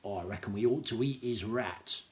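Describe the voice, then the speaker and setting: A man's voice: lowish voice, moderate pace, darkish tone, close to an everyday voice. A man doing a whiny old leader. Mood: whining. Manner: whining, sulky.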